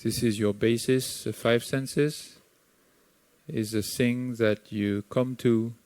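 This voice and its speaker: A man with a Sri Lankan accent guiding a meditation, speaking calmly and gently with long pauses between phrases. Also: medium pitch, no room sound. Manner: slow, calm, gently instructive with long pauses. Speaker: meditation teacher. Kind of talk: guided meditation.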